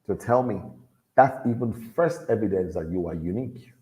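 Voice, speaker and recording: A man with a deep voice speaks in a low register, giving a motivational talk to young people. His tone is mellow and warm. He exhorts his listeners earnestly and circles back to repeat his key points. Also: expressive, normal speed, poor audio. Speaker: motivational speaker at a youth event.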